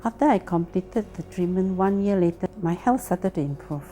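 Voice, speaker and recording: A woman's voice: fairly low pitch, expressive, normal speed, mellow, faint music behind. A woman with a Malaysian English accent giving a plain, earnest personal testimony. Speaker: testimony speaker.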